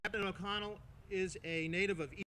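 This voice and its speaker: Bright-voiced Long Island man introducing a speaker. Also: high-pitched, steady tone, a little fast, slight background noise. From Smithtown, Long Island, New York. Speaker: master of ceremonies. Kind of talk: formal introduction, partly read, with asides.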